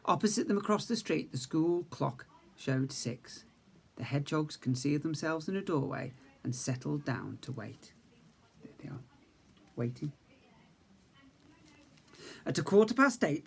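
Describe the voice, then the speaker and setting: A male teacher reading a storybook aloud to young children, lively, voicing characters higher and dropping to quiet asides. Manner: lively reading, raises pitch for characters' speech; self-corrects slips mid-sentence.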